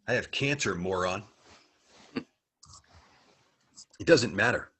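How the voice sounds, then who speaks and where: A male actor plays a contemptuous cult member in a thriller. The voice is lowish and husky, slow and defiant. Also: expressive, fairly bright tone, heavily acted. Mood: defiant, scornful. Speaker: actor in a web series table read.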